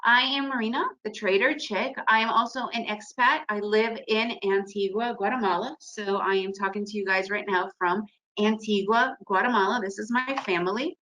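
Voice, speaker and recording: Bright, chatty woman presenting a webinar. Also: medium pitch, moderate pace, poor audio. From Soviet Union, raised in the United States. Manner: energetic and chatty, with frequent restarts and "right?" tags. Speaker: webinar presenter, day trader. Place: home in Guatemala.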